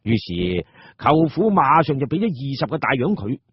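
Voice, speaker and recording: A man with a Cantonese accent narrating a scripted story for radio drama in an expressive, theatrical way. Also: medium pitch, moderate pace, slightly rough, dry recording, dull audio.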